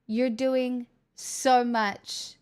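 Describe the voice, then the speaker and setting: A woman's voice: a little high, little pitch movement, very slow, a little mellow, smooth. A young woman hosting a casual livestream, chatting, joking and swearing as she answers messages from chat. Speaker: livestream host reading chat.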